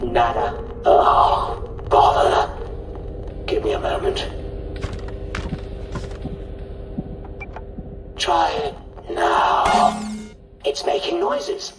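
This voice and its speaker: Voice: mid-range voice, huge pitch swings, slow, vocal fry, long pauses, full character voice. An actor voicing a dotty, cheerfully callous scientist in a video game, with a patronising, absent-minded manner, over an intercom. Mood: flustered. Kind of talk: video game character acting. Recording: roomy distant intercom, music behind.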